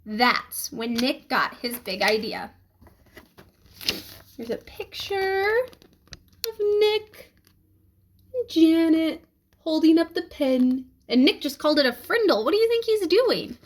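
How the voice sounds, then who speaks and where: A woman reading a children's book aloud in an expressive, emphatic storytelling manner. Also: sits high, takes it slowly, pauses often, a little hiss or hum. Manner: animated, emphatic storytelling with questions to listeners.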